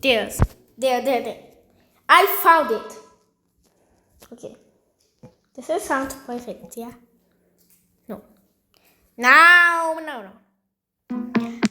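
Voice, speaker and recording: Slow, high-pitched woman muttering through a tutorial. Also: over music. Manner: hesitant, repetitive, easily exasperated. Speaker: video tutorial creator. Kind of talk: casual screen-recording tutorial, muttering to self.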